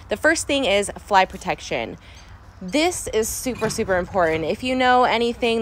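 A woman's voice: mid-range voice, slight background noise. A young woman from San Diego, chatting enthusiastically in a casual vlog about caring for her horses at an outdoor barn. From San Diego, California. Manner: chatty, enthusiastic, repeats "super important". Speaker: horse owner vlogger.

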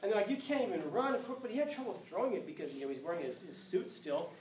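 Animated teacher, echoey classroom. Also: male voice, high voice, fast, recorded from a distance, slight background noise. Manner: animated, acts out scenes with playful voices. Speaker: high school teacher. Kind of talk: classroom lecture. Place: classroom, indoors. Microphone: far camera.

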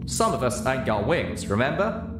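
Man putting on a higher-pitched folksy country mare. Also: sing-song, normal speed, echoey room, music in the background, close to an everyday voice. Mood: patient, firm. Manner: folksy drawling dialect. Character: patient country mare, folksy orange earth pony.

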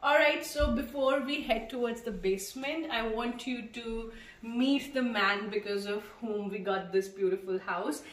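A woman's voice: on the high side, unhurried, few pauses, recorded from a distance. A young woman, a Punjabi speaker, chatting casually as she walks through an empty house, with many fillers. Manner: chatty, walks while talking, frequent uh fillers. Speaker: vlogger. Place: empty house, indoors, bare rooms. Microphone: handheld camera at a distance. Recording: echoey empty rooms, voice from off mic.

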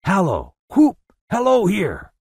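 A man performs an old miser from a Victorian storybook, newly repentant and full of fervor. He exclaims and swoops across a very wide range of pitch. The voice is dry and close, with a soft, mellow edge. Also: huge pitch swings, slow pace, husky, no echo, full character voice. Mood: giddy joy. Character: elated repentant old miser, giddy, pleading, Victorian Englishman.

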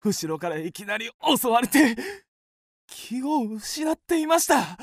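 Radio drama: indignant young gentleman, wrongly accused, high swooping voice, exclaiming and stammering. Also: big pitch swings, unhurried, vocal fry, dry recording, studio quality, full character voice. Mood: shaken, earnest.

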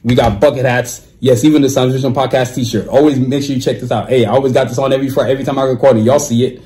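A man's voice: medium pitch, steady tone, fast talker, dark tone. A man in his thirties hosting his own podcast, talking casually at a desk in a small room, reading sponsor plugs in a slangy, laid-back way. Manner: laid-back slangy talk with lots of "you know what I'm saying". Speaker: podcast host.

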